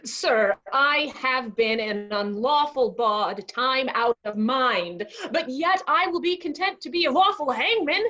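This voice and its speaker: A high, slightly muffled voice gives us a wry Shakespearean clown a woman acting a male bawd. He is cheeky and mock-humble, addressing his betters with sly politeness. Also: measured pace, hardly any pauses, bright, forceful tone. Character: cheeky bawd turned eager hangman's helper, male clown. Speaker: actor in a staged reading. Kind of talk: Shakespearean comic stage reading.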